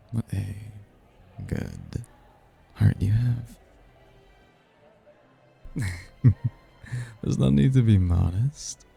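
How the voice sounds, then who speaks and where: Casting: male actor as suave demon seducer for ASMR roleplay, very low gravelly voice, courtly and flirtatious, prideful. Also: warm tone, exaggerated voice. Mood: admiring, teasing. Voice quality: husky, gravelly. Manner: smooth-talking, courtly, flirtatious. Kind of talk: ASMR roleplay, one-sided romantic conversation. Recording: music behind the voice.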